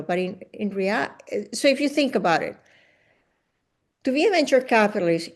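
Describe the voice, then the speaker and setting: A woman leads a podcast conversation. Her pitch sits in a middle range for a woman. She speaks assertively and with emphasis, often breaking off to restart a phrase. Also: a little mellow. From Venezuela. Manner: assertive, emphatic, with self-corrections. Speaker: podcast host. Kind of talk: conversational interview.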